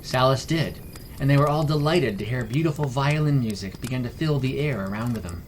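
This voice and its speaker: A man's voice: mid-range voice, a little fast, slight background noise. A man reading scripted fantasy story narration as the narrator of a fiction podcast. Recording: music behind the voice. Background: background music.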